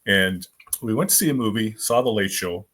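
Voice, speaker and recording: A man in his fifties, a guest author, telling his stories in a rambling, animated way with lots of fillers. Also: on the low side, moderate pace. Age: 50s. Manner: animated, rambling storyteller with many fillers and restarts. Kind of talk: casual storytelling in a podcast interview.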